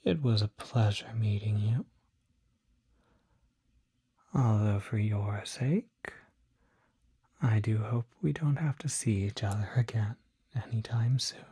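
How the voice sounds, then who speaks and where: Someone acting a whispering, unhurried demon doctor. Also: medium pitch, dark tone, exaggerated voice. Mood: gentle, courteous. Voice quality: breathy, whispery. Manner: formal, unhurried, precise diction. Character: polite, soft-spoken demon doctor treating curses. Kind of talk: whispered ASMR roleplay.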